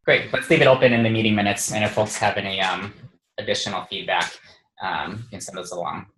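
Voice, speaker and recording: A man's voice: on the low side, fairly even intonation, a little fast, fairly bright tone, a little hiss or hum. A man informally chairing a work conference call, responding to colleagues, with echoing call audio. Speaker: meeting chair.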